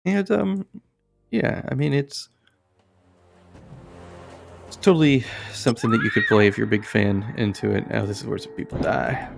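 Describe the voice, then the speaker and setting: A man hosting casual, unscripted game commentary, halting and rambling as he searches for words. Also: mid-range voice, gravelly, over music. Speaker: host of an indie game review channel.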